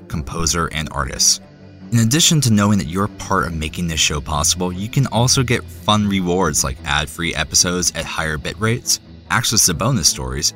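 Very deep, bright-voiced male podcast host. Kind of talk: scripted introduction to a fiction podcast.

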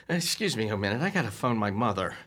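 A man's voice: lowish voice, big pitch swings, a little fast, a bit bright, faint music behind. An actor playing a blustering, flustered working-class man in a radio comedy, stammering and ungrammatical as he tries to act like a big sport.

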